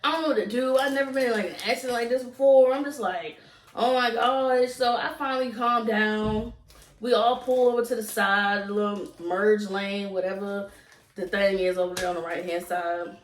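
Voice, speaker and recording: A woman's voice: medium pitch, steady tone, normal speed. A young adult woman with a Southern US accent telling a casual, repetitive story to viewers as a vlogger. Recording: roomy echo, distant from mic.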